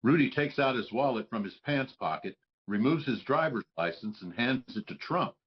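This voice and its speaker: A man's voice: mid-range voice, animated, moderate pace, lo-fi sound. A man reading stage directions as scripted narration for a table read.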